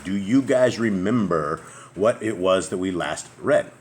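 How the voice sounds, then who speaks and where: Loud booming deep-voiced guy reads scripture at home, faint outdoor sounds around. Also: expressive, unhurried, slight background noise. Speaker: host leading a family scripture reading. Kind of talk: casual group Bible study. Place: house open to the outside, noisy roof. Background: faint outdoor ambience.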